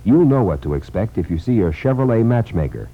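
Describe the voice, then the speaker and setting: A muffled sixties radio recording features an American male announcer with a very deep voice. He reads a scripted commercial with wide, lively inflection. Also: a little fast, slightly rough. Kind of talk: scripted radio car advertisement.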